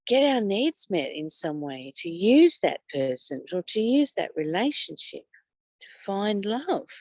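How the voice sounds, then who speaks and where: A woman reading a spiritual text aloud and adding her own commentary as a teacher, speaking deliberately with pauses and repeated phrases. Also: on the low side, creaky, a little mellow, dry recording. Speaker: spiritual study teacher and reader.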